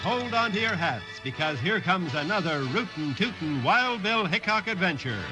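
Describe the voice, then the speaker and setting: Rousing American radio announcer over loud music. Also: male voice, high voice, very animated, normal speed, rough recording. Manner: rousing, showy. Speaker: show announcer. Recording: old radio recording, loud music behind.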